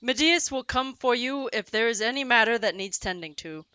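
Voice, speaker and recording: Woman playing a bright, bold warrior sister. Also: a little high, lively intonation, moderate pace, clean tone, no room sound, lo-fi sound. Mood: boastful, amused. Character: bold, battle-loving warrior sister, protective and hearty. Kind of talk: fantasy audio drama acting.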